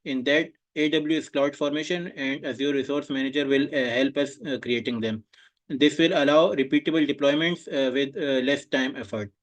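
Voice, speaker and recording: A man's voice: mid-range voice, fairly even intonation, normal speed. A young man giving an oral exam presentation over a video call, speaking hesitantly with many filler sounds. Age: young adult. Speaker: student presenter.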